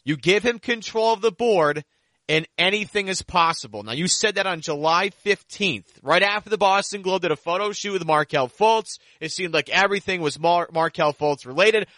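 A man's voice: sits high, moderate pace, sharp tone, no echo. A man hosting a sports podcast, talking basketball in a casual, conversational way.

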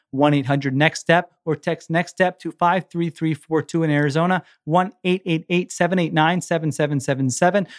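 Male sports podcaster, slightly high voice, casual host read.

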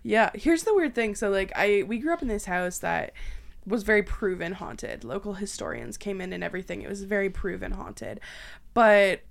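A woman's voice: medium pitch, animated, moderate pace, gravelly. Bubbly young Canadian woman chatting. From Ontario, Canada. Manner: bubbly, loud, uses "like" heavily. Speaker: podcast host. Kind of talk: casual bantering chat and storytelling.

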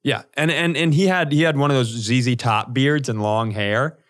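A man co-hosting a comedy podcast, telling animated, casual stories and acting out the dialogue. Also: mid-range voice, normal speed.

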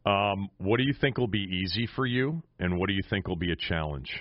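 An American man hosting a sports interview, asking questions in a casual, halting, informal way. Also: low-pitched, animated, muffled recording. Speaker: sports podcast host, interviewer.

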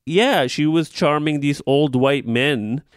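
A man's voice: on the high side, slow pace, smooth, dry recording, clean studio sound. A man co-hosting a podcast, talking in casual chat and laughing and playing along easily. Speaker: podcast co-host.

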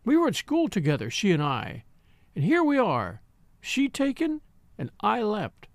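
A man's voice: high-pitched, huge pitch swings, unhurried, no room sound, pitched above the performer's own voice, heavily acted. A man reading aloud and voicing a blunt, triumphant elderly spinster in a gossipy, quavering manner. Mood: triumphant gossip.

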